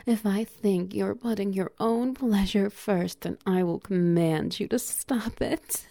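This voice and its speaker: A woman with a low, gravelly edge and a mellow, warm tone, talking slowly in a teasing, commanding manner. She often sinks into a soft whisper and lets out breathy little chuckles, close and dry on the mic. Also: no echo. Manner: breathy little laughs between lines. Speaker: erotic audio roleplay performer. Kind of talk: intimate audio roleplay.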